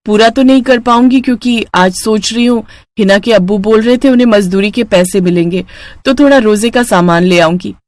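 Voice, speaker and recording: A woman's voice: mid-range voice, brisk, no echo, lightly acted. A woman voicing a meek, patient poor younger sister, deferential and obedient, in a storybook tale. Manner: deferential, patient, gentle wording.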